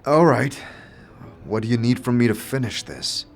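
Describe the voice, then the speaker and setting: World-weary male wanderer, acted by a man for fantasy audio drama: puzzled, cautious, expressive pitch, faint music underneath. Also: normal speed, gravelly. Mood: wary, questioning.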